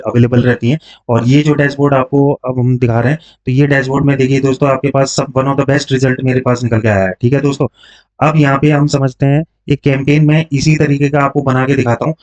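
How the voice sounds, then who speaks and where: A man's voice: medium pitch, darkish tone. A man teaching informally step by step, explaining as he goes and mixing in English terms with frequent check-in tags. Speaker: online tutorial host, digital marketing coach. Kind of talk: informal step-by-step screen tutorial. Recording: dull lo-fi microphone sound.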